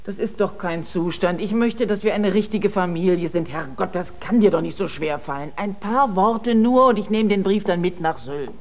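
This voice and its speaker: Creaky-voiced, pleading wife, played by a woman. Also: medium pitch, moderate pace, few pauses, natural voice. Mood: pleading, insistent. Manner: pleading, insistent. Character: needy, hard-drinking wife, anxious for family peace. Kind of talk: naturalistic German radio drama acting.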